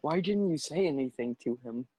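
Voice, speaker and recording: A man's voice: fairly high pitch, animated, moderate pace, dark tone, poor audio. A young man in casual chat as a co-host, giving short, teasing replies.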